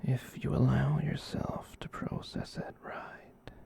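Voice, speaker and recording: A gentle giant catboy, acted out by a performer in an ASMR roleplay, murmuring in a heavily creaky whisper. The tone is mellow and soothing, and the speech rambles slowly and haltingly with cutesy touches. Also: medium pitch, wildly swooping pitch, heavily acted. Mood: reflective, reassuring. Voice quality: soft breathy whisper with creaky edge. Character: gentle giant catboy, former wizard and alchemist, comforting.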